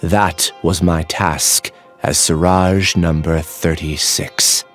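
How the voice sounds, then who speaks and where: An actor playing a quiet young male researcher who calmly and earnestly explains why he is joining a hive mind. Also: low-pitched, slow pace. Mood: resigned. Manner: calm, earnest, explaining himself. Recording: faint game music behind.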